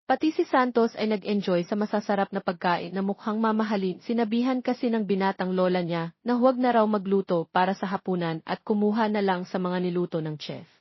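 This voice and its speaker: A woman's voice: medium pitch, fairly quick, dry recording, lo-fi sound. A woman narrating a romance story from a script, as a storyteller.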